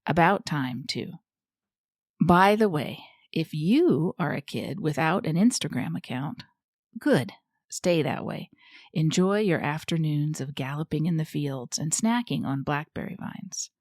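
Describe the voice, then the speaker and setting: A woman's voice: low-pitched, animated, unhurried, a little mellow, no room sound, studio quality. A woman playing a fussy, easily indignant goat reporter who hosts a scripted podcast in a prim, chatty, theatrical way. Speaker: podcast host.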